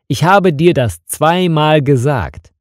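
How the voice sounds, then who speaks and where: A man's voice: a little high, animated, slow pace, dry recording, clean studio sound. A man narrating scripted stories for language learners, reading carefully and with expression. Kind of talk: slow scripted narration with acted dialogue.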